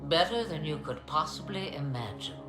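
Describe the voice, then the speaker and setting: A woman's voice: low voice, big pitch swings, normal speed. An older woman playing an ancient, commanding witch in a video game, theatrical, with grand and archaic phrasing. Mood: wry, knowing. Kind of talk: dramatic video game acting. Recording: roomy reverb with music behind.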